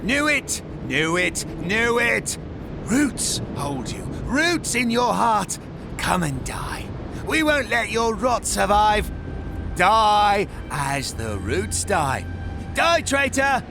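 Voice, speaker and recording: An actor playing a menacing, paranoid soldier driven mad, ranting in sing-song repetition for a video game. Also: male voice, lowish voice, very animated, takes it slowly, pauses often, music in the background. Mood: frenzied rage. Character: root-maddened soldier, menacing, raving, paranoid. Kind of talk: dramatic video game acting.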